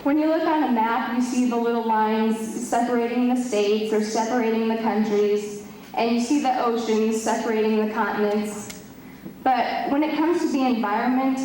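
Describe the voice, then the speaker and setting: A woman's voice: on the high side, a little mellow, slight background noise. Woman giving an earnest speech in an echoing hall. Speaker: summit organizer. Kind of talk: speech at an event. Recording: echoey hall from far off.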